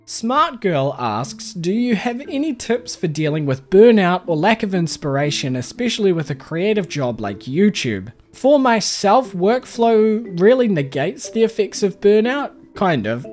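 A young New Zealand man with a fairly high voice and a lively, bouncing delivery. He reads a friendly, chatty voice-over from a close home mic, with faint music playing underneath. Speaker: game video creator. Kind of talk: scripted voice-over answering viewer questions.

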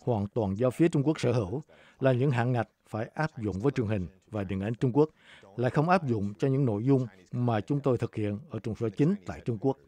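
Male voice-over translator, medium pitch, dark soft tone, scripted read. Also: a little fast.

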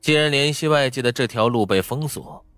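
A man's voice: mid-range voice, brisk, a bit bright, clean tone, dry recording, studio quality. A man narrating fiction from a script as a dramatic audiobook. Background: faint background music.

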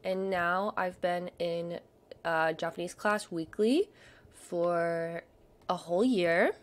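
A woman's voice: on the low side, slow. Chatty young woman with a stutter. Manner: chatty, filler-heavy, soft murmuring asides. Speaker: vlogger. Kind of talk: casual shopping chat. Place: home desk.

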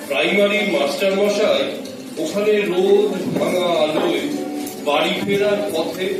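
High-pitched man reciting poetry in deep echo. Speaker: recitation performer. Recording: very echoey, distant, music behind. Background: music.

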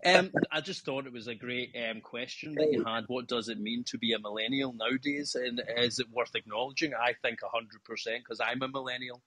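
A man's voice: medium pitch, a little fast, few pauses, sharp tone, slight background noise, rough recording. A man in his thirties from Scotland with a Scottish accent, chatting enthusiastically as a caller and swearing casually. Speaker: guest caller. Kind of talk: casual call-in discussion. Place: calling from home over an internet call.

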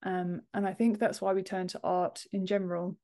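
Female, 30s, mid-range pitch, informal interviewee on a video-call podcast. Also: flat delivery, moderate pace, warm tone. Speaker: podcast guest, author and book formatter. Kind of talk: casual interview chat. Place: home office room, indoors, on a video call.

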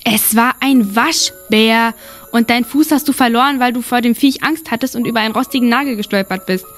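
No-nonsense elder sister actress, higher register, amateur audio drama over music. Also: female voice, a little fast, a bit bright, lightly acted. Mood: exasperated.

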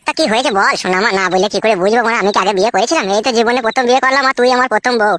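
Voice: medium pitch, racing, a bit bright, dry recording, natural voice. A performer voicing a whiny, pleading, crude village husband in a comic cartoon. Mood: wheedling, impatient. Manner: nagging, wheedling, coarse-mouthed. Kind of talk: exaggerated comic cartoon dialogue.

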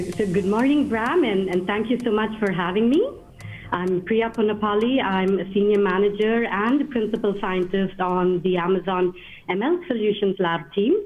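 A woman scientist, a guest in an interview, answering in a measured, slightly halting way. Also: medium pitch. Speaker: guest, machine learning scientist. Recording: tinny phone-like line.

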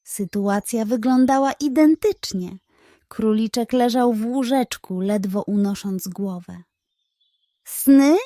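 A woman's voice: a little high, measured pace, mellow, no room sound, studio quality. A woman narrating a scripted children's bedtime story, telling it carefully and expressively. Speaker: narrator of children's audio stories.

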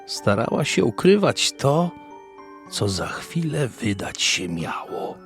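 A man narrating a scripted fantasy story in a measured, dramatic way. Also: mid-range voice, gravelly. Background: music bed.

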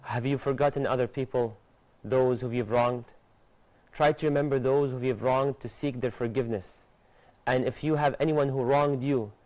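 A young man presenting a religious TV lesson to studio guests, animated and gesturing, reciting Arabic verses quickly, on a lapel mic in a studio. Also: mid-range voice.

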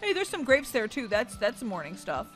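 A woman voicing a cheerful, easygoing, goofy dad in an animated, playful family role-play over a video game. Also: on the high side, moderate pace, faint music behind.

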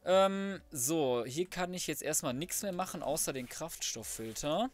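A man's voice: fairly high pitch, expressive, unhurried. A man giving casual let's play commentary, colloquial and mildly sweary, often muttering to himself. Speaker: gaming video host.

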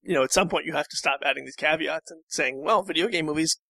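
A man speaks as a guest in a casual discussion. His voice is bright and forceful, a little on the high side. He rambles and halts as he works through his point, in a very dry recording. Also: fast talker, vocal fry, no room sound. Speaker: podcast guest.

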